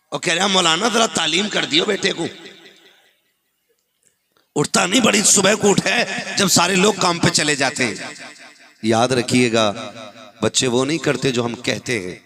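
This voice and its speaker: A man with a high, forceful voice and a North Indian accent delivers an impassioned Islamic sermon. He drives his points home with rhetorical questions and emphatic repetition, speaking as a cleric to a live gathering. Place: live religious gathering with audience.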